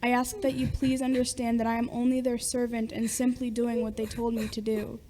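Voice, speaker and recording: A young woman playing an apologetic company messenger in a school radio play, formal, measured and deferential. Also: a little high, level tone, dark tone, a little hiss or hum, natural voice.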